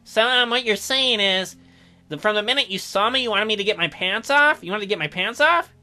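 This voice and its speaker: A man's voice: high voice, lively intonation, fairly quick, bright, forceful tone, soft background music, exaggerated voice. A man voicing an awkward, gloomy young man in a visual novel, hesitant and defensive. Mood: flustered. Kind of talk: acted visual novel dialogue.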